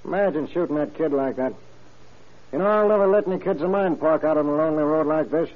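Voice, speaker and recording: A man's voice: on the high side, fast, close to an everyday voice. A man playing an earnest, moralizing small-town deputy in an old-time radio crime drama, folksy and a little grumbling. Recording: dull, noisy old radio recording.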